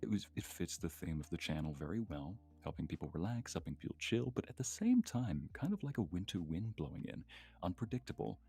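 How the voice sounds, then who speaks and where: A man with a low, creaky voice chats casually on a live stream. His intonation swings animatedly and his talk is playful, with faint music behind him. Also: very animated, a little fast, warm tone. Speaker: voice actor and guest creator. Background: faint background music with guitar.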